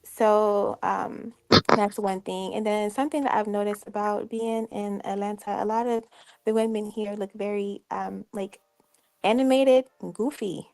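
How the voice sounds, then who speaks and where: A woman's voice: medium pitch, fairly even intonation, vocal fry, a little mellow, dry recording, low-quality recording. A woman in her twenties from Detroit, whose first language was Algonquian, calling in and talking hesitantly and apologetically. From Detroit, United States. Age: 20s. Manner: hesitant, apologetic, many pauses. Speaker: caller. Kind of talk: call-in chat.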